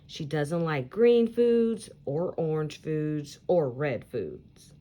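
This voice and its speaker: Woman reading slowly with very lively pitch. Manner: animated, emphatic, deliberate phrasing for young listeners. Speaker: teacher reading picture books aloud for children. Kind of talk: expressive children's read-aloud storytelling.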